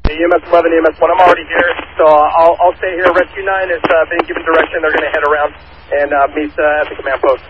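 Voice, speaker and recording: A man with a fairly high voice rushes through orders over a radio, pausing on fillers. The channel is dull and narrow, and loud static sits underneath him. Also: flat delivery. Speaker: fire officer on the radio. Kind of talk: fireground radio traffic. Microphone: handheld radio.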